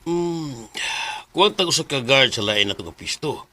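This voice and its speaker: Forceful, sharp Bisaya head guard. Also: male voice, medium pitch, expressive, moderate pace, no room sound. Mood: businesslike. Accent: Filipino, Bisaya. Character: businesslike male head guard, the boss. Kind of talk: Bisaya radio drama acting.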